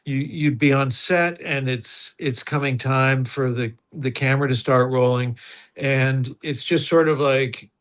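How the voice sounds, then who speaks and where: A man's voice: mid-range voice, fairly even intonation, measured pace. A man, an actor, reflecting on his working method in an interview and searching for the right words as he goes. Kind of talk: interview answers.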